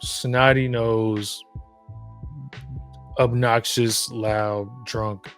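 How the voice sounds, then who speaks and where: A man's voice: mid-range voice, little pitch movement, drawn-out words, a bit bright, faint music behind. Southern man talking thoughtfully. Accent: Southern American. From Southern United States. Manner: reflective, emphatic, storytelling with frequent restarts. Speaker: podcast guest. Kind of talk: casual conversation.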